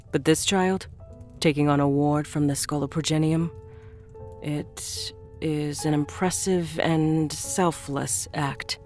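A woman acting in an audio drama as a stern, devout church official, speaking in a solemn, deliberate, imperious manner. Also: low-pitched, a little mellow. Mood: measured, condescending. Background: faint music.

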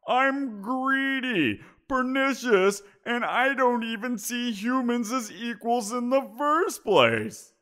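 A man's voice: very high voice, expressive, bright, forceful tone, exaggerated voice. A man playing a smug teasing giant creature. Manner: playful, teasing, drawn-out. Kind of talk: audio roleplay.

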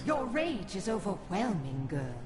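A woman's voice: mid-range voice, very animated, measured pace. A woman playing an imperious, gloating sorceress villain in a video game, commanding, taunting and theatrical. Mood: menacing. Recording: echoing, loud game music behind.

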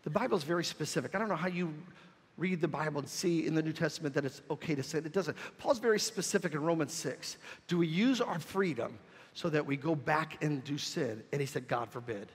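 A man preaching a sermon as a pastor, leading call-and-response and building to emphatic shouts. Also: a little high, brisk, husky. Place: church sanctuary. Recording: long church reverb.

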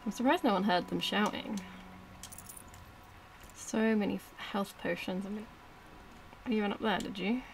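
A woman commentates casually over a video game, talking half to herself and half to the screen. Her voice sits at an ordinary pitch, with clear noise and game ambience behind it. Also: moderate pace, long pauses. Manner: informal, muttering to herself and the game. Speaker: gaming video host. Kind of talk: casual let's-play commentary.

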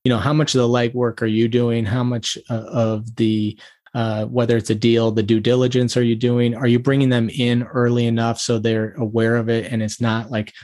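A man's voice: mid-range voice, little pitch movement, normal speed, a little mellow. Man advising as a guest attorney. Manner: methodical, lists questions one after another. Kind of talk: interview answers, advising.

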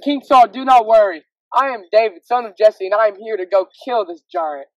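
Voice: medium pitch, brisk, no room sound, muffled recording, close to an everyday voice. A performer playing a bold, indignant young shepherd boy, earnest and declamatory, in an amateur Bible story. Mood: earnest, confident.

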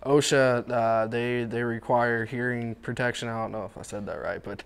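A man's voice: medium pitch, steady tone, moderate pace, gravelly, clear, resonant tone. Agreeable American male co-host. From United States. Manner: agreeable, brief interjections. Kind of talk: casual chat. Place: small indoor studio office at a table.

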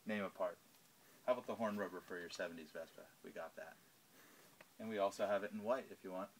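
A man's voice: low-pitched, fast. A man in his thirties walks through a large indoor parts warehouse, filming on a handheld phone and listing items as he goes. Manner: lists items while walking, filming handheld. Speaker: shop tour guide. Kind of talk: casual walking shop tour. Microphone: handheld phone camera. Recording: echoey warehouse, faint hum.

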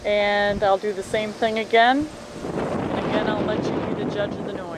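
A woman's voice: mid-range voice, flat delivery, normal speed. A woman narrating casually with a handheld camera outdoors at a wind turbine, talking plainly with small hesitations. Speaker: amateur videographer. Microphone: handheld camera mic. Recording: wind-buffeted outdoor camera audio with loud engine noise. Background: diesel truck idling and wind.

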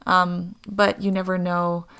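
A woman from Hawaii giving a channeled spiritual talk as a voice-over, halting often with fillers and restarts. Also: lowish voice, flat delivery, fairly bright tone, smooth.

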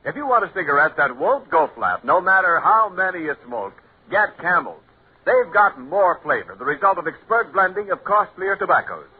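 Animated 1940s American radio announcer man. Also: high voice, moderate pace, husky. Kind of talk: 1940s radio advertising read. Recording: tinny, muffled 1940s radio recording.

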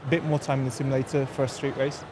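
A man's voice: mid-range voice, flat delivery, very fast, noisy background. A young man in his twenties hosting a podcast, chatting casually in a laid-back, rambling way with lots of fillers. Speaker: podcast host. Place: glass-walled room by a busy street. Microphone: desk mic with headphones.

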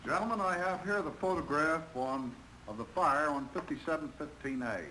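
A man's voice: on the high side, normal speed, sharp tone. A man playing an earnest American railroad manager chairing a meeting in scripted 1950s training film dialogue. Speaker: railroad official leading a meeting. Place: conference room. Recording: noisy 1950s film soundtrack.

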